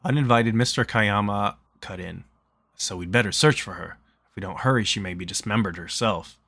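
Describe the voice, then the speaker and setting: Low-voiced man reading a game story aloud. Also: moderate pace, a bit bright, no room sound. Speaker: streamer reading a visual novel aloud.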